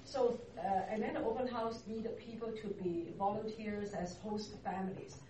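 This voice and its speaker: A woman's voice: a little high, warm tone. Distant, echoey woman. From Hong Kong. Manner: unhurried, halting, searching for words. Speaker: interview guest, church ministry worker. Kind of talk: casual interview. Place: church hall. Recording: echoey room, distant mic, noisy.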